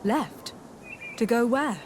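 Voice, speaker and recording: A woman's voice: on the high side, slow, a little hiss or hum. An actress playing a courteous, composed young noblewoman diplomat in a video game, speaking formally and inquiringly. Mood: polite, inquiring. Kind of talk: scripted video game dialogue.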